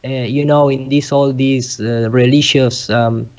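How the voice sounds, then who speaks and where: A man with a Spanish accent explains things informally in halting, non-native English, often searching for words. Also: mid-range voice, steady tone, a little mellow. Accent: Spanish-speaking. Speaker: guest speaker. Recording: dull lo-fi online call audio.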